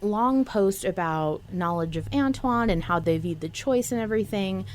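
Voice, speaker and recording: A woman's voice: mid-range voice, animated, moderate pace, a little mellow, slight background noise. A woman hosting a podcast in casual discussion, speaking in an earnest, reflective way. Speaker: podcast host.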